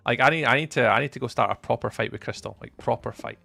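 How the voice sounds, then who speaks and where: A young Scottish man from the east coast, live-streaming and chatting to viewers in a teasing, up-and-down way, from loud bursts to low mutters. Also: quick pace, creaky, dry recording. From east coast of Scotland. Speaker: livestreamer.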